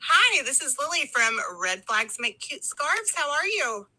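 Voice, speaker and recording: A woman hosting a recorded podcast interview, asking polite, friendly questions that gently guide her guest. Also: high-pitched, very animated, unhurried. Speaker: podcast host.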